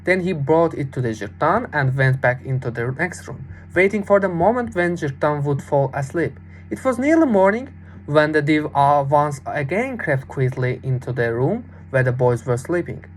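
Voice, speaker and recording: A young woman with a fairly low voice narrates a children's fairy tale. She reads deliberately and expressively, as a student storyteller. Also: lively intonation, darkish tone, slight background noise. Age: young adult.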